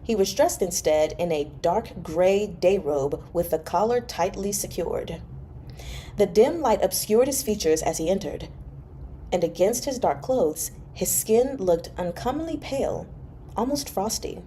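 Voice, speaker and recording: A woman's voice: mid-range voice, animated, unhurried, warm tone, a little hiss or hum. A woman hosting a read-along, reading a novel aloud and chatting casually about it as she goes. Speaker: host of a live book read-along.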